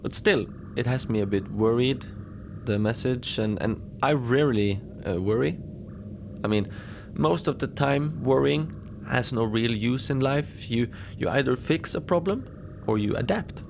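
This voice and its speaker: Gravelly, unflappable station manager played by a man. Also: medium pitch, a little slow, noisy background. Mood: mildly worried, unhurried. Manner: casual rambling, wry understatement, small chuckles. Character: unflappable lone male facility manager at an Antarctic station. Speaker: host and narrator of a fiction podcast. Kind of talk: cozy horror audio diary, radio transmission monologue.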